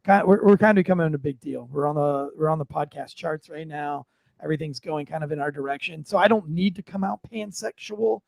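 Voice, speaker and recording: An American man with a fairly high, gravelly voice hosts a casual talk show. He rambles and jokes, swearing freely, in a close, dry sound. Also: brisk, darkish tone, dry recording. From United States. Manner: rambling, joking, profane. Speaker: podcast host.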